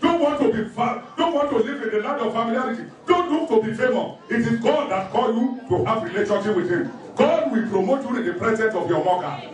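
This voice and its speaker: A man's voice: extremely high pitch, brisk. A man preaching a sermon as a pastor, emphatic and repetitive, exhorting his listeners. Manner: emphatic, repetitive, numbered exhortations with scripture quotes. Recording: very echoey room, distant microphone.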